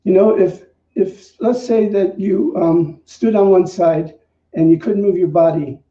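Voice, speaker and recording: A man hosting as a minister, giving an informal spiritual talk in a conversational, halting way. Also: high voice. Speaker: minister and service host. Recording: distant, echoey, dull room recording.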